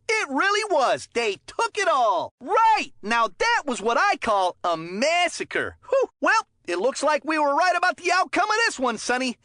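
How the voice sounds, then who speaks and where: Excitable, high-pitched folksy hockey commentator. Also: no echo, clean studio sound, heavily acted. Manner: folksy, chatty, eager, slangy interjections. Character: excitable, folksy kids' hockey game commentator, cheerful and wholesome. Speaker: sports commentator. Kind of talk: animated sports game commentary.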